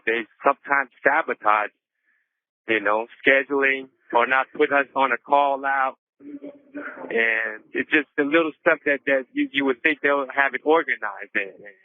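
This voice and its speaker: Halting middle-aged man on a phone line. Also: medium pitch, no echo. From Seattle area, USA. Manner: halting, many "you know" fillers. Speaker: incarcerated cultural group member. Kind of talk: personal testimony.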